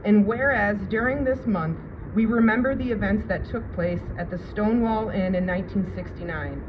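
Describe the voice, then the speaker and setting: A woman's voice: medium pitch, moderate pace. A woman delivering a formal public speech at a ceremony, reading out an official proclamation. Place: outdoor public ceremony at a city hall. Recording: noisy outdoor public-address recording, muffled, traffic.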